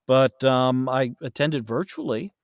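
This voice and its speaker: A man's voice: medium pitch, a little slow, dry recording, clean studio sound. A man chatting casually as a podcast guest, rambling and self-deprecating, often trailing off mid-thought. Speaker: podcast guest, audiobook narrator.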